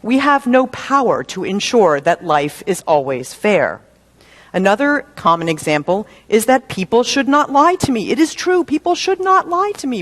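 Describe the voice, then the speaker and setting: A woman minister delivering a sermon in a measured, deliberate way, using rhetorical questions and repetition for emphasis. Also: mid-range voice. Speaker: minister preaching.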